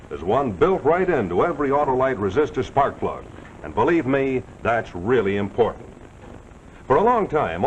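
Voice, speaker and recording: An American man announces in a folksy, salesmanlike 1940s television style. His mid-pitched voice is highly animated and jovial. The old soundtrack is dull, with faint noise underneath.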